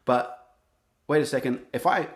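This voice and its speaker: A young adult man with an Australian accent, speaking earnestly in an interview, often restarting his sentences. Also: medium pitch. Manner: earnest, halting, many restarts. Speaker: guest, singer-songwriter. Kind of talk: reflective interview talk.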